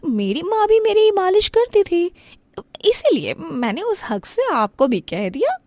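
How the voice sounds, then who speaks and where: A woman's voice: extremely high pitch, very animated, a little slow, a little mellow, no room sound, pitched above the performer's own voice, full character voice. A woman voicing a rich, stingy young daughter-in-law, sweetly affected and condescending, in a moral story. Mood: falsely sweet.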